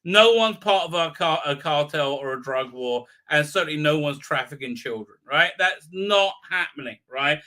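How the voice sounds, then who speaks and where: A man hosting a fan review livestream, giving a rambling, halting, sarcastic recap with repeated words and exasperated outbursts. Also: fairly high pitch, expressive, sharp tone. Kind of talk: casual mocking episode recap.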